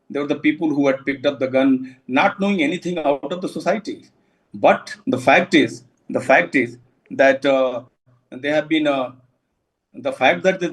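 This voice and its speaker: Higher-voiced Indian man giving halting expert commentary. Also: poor audio. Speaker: security expert, former counter-terrorism officer.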